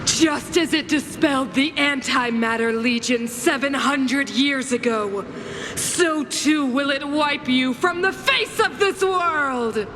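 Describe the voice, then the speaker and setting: A woman voicing a cold, imperious female ruler and grandiose villain in a video game cutscene, speaking in a measured, theatrical way. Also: high-pitched, in a large hall, background noise. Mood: grandiose, menacing.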